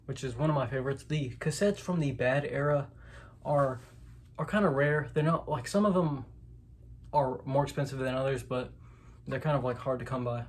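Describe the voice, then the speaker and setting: A man's voice: medium pitch, normal speed. A man with a mid-range voice talks through a collection in a casual, unscripted vlog style. He is informal, often hedging or correcting himself. The recording has noticeable noise, with clicks and clatter behind the voice. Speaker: host, collector showing their collection.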